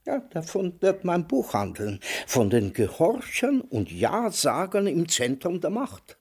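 An actor playing an opinionated, scornful old man in a radio drama, sardonic and declamatory, with a husky voice. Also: fairly high pitch, huge pitch swings, unhurried, a little mellow, full character voice. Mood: emphatic. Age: older.

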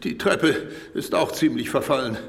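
Wary senior police official, creaky voice, lively intonation, formal, radio play, noisy background. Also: male voice, mid-range voice, moderate pace. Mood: observant. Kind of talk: German radio drama acting. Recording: studio radio play with clear background noise.

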